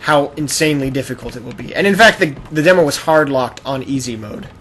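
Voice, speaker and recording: A man's voice: medium pitch, animated, fast, fairly bright tone. Man chatting casually over noisy game audio. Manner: rambling, explanatory, acts out imagined quotes. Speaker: gaming podcast host. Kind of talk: casual chat while playing a video game. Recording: noisy amateur podcast recording with game audio. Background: video game sound.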